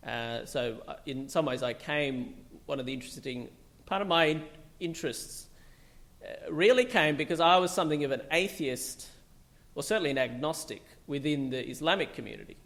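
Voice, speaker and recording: A man's voice: a little high, moderate pace, fairly bright tone. A middle-aged man from Bangladesh, a psychiatrist, giving a loose, conversational public talk full of fillers and restarts.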